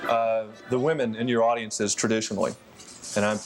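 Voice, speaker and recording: A man's voice: lowish voice, level tone, brisk, music in the background, poor audio. A male interviewer posing conversational questions to a singer.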